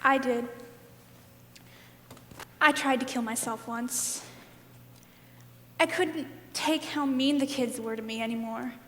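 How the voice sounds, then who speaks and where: A woman playing a wounded, quietly defiant juror in an amateur stage play. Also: fairly high pitch, fairly even intonation, slow, long pauses, slight background noise. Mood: pained. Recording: distant, echoey hall.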